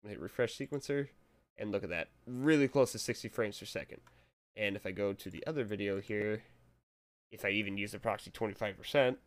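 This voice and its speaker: A young man recording a casual software tutorial, talking offhand and a little hoarse from a cold. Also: on the low side, brisk. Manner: rambling, offhand, chuckles to himself. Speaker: tutorial maker.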